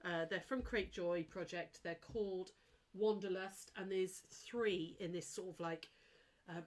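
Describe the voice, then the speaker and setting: A woman with a lowish voice and a blocked, snuffly nose, talking through a sewing demo on a live stream. She is chatty and apologetic and keeps up a running patter with her viewers as she works. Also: steady tone, a little slow, a little mellow. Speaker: sewing teacher hosting a live craft demo. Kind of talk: casual live-stream tutorial.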